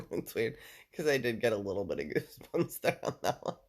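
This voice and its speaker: A man with a deep voice chatting casually and at length to a listener, rambling, full of fillers and casual swearing. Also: sing-song, normal speed. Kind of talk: casual personal chat with a listener.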